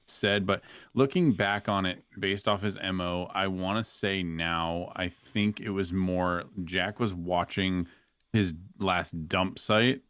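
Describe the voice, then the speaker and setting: Low-pitched male podcast guest, dry sound. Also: slow, a bit bright, smooth, no echo. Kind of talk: casual discussion.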